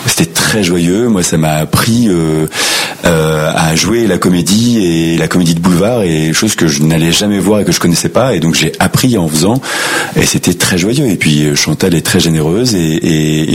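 Brief: man, very low voice with a husky edge, hesitant interview talk full of fillers. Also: normal speed. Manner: hesitant, many fillers and restarts. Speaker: actor interviewed. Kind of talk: casual interview chat.

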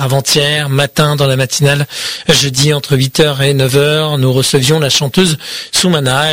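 A man's voice: medium pitch, a bit bright, dry recording. Chatty male radio host. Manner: chatty, frequent fillers and tag hein. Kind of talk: radio interview. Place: radio studio. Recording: radio broadcast.

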